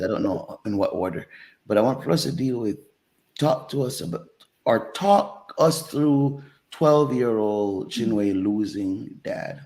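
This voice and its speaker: A male co-host guides the guest with measured, probing questions. His voice is fairly low, with a gravelly edge. He talks deliberately and carefully. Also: a little mellow, low-quality recording. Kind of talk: conversational interview.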